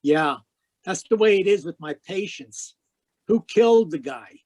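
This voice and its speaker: A hardboiled private eye from an old radio mystery, acted by a man, pitched a little high for the type. He talks in terse, cynical slang and wisecracks like a man who trusts nobody. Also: lively intonation, unhurried, darkish tone. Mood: tough, pressing. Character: tough, wisecracking hardboiled private investigator narrating his case. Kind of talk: 1940s radio noir acting.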